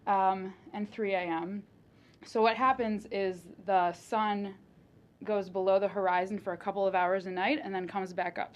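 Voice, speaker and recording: A woman of about twenty, a native Russian speaker, giving a student presentation as lively storytelling with dry humor. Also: medium pitch. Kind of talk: personal presentation.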